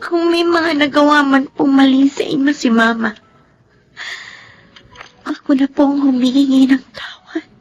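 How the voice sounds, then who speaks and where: An actress playing a respectful, apologetic grown daughter in a Filipino radio drama, earnest and polite. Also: female voice, high voice, fairly even intonation, unhurried, long pauses.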